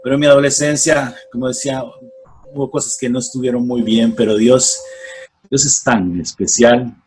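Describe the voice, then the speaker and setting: A man in his forties from Costa Rica with a Costa Rican accent, giving a reflective, unhurried testimony as a guest on a radio interview, with many pauses and fillers. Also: medium pitch, steady tone, a little mellow, a little hiss or hum, low-quality recording. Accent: Costa Rican Spanish. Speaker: guest, former missionary.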